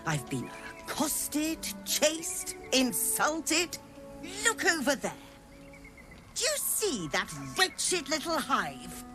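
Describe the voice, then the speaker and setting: An actress voicing a haughty, scheming merchant woman who talks theatrically and flatters to get her way. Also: high-pitched, very slow, over music, heavily acted. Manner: theatrical, wheedling, affected.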